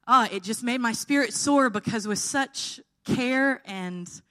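A woman's voice: fairly high pitch, a little slow, bright, forceful tone. A woman preaching an informal sermon, her voice tired and held back, often checking in with her listeners.

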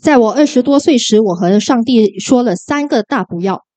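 A woman's voice: fairly high pitch, expressive, normal speed, a little mellow. A woman interpreting a sermon sentence by sentence, with brief restarts as she translates.

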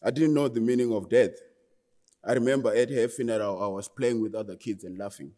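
Earnest young Zimbabwean man, mellow voice. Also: lowish voice. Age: 20s. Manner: earnest, halting, restarts phrases. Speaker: guest speaker. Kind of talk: personal testimony talk. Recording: long hall reverb.

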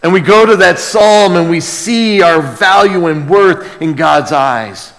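A man preaching a sermon as a pastor, earnest and emphatic, moving between hushed lines and loud exclamation, with rhetorical questions to the congregation. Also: high voice, slow pace. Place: large reverberant room, preaching to a congregation. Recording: long hall reverb.

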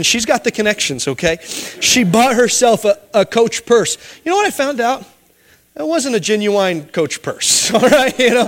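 A male pastor delivers a sermon in a noticeably high voice. He pleads earnestly with his listeners, then shifts into a story full of humorous asides.

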